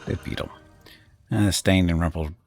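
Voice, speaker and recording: A man streaming a game, reading its text aloud with relaxed, wry asides. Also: sits low, moderate pace, vocal fry, faint music behind. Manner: relaxed, wry asides between read passages.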